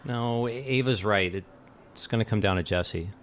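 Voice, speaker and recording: An actor playing a dry-witted, matter-of-fact young college student in an audio drama, deadpan and precise. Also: male voice, lowish voice, moderate pace, a little hiss or hum, natural voice. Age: young adult.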